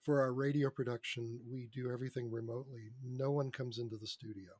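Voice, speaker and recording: A man's voice: medium pitch, fairly even intonation, brisk, a little mellow. A middle-aged or older man talking conversationally as a podcast guest, telling anecdotes about his work on a close mic. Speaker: podcast guest, screenwriter and radio drama producer. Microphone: close mic on a remote podcast recording.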